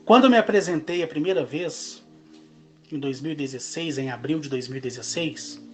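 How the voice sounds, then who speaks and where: A Brazilian man in his forties, speaking in a slow, emphatic monologue broken into short phrases. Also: fairly high pitch, faint music behind. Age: 40s. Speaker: channel host. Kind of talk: monologue to camera.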